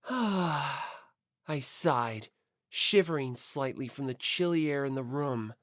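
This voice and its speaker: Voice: medium pitch, no echo, clean studio sound. A narrator reading a scripted story and credits for an audiobook. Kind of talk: scripted manga and fan fiction reading.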